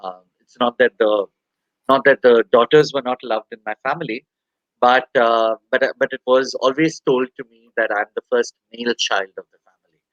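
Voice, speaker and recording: A man from India in his forties, speaking candidly as an interview guest, halting and soft-spoken with many pauses and fillers. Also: medium pitch, steady tone, no room sound, dull audio.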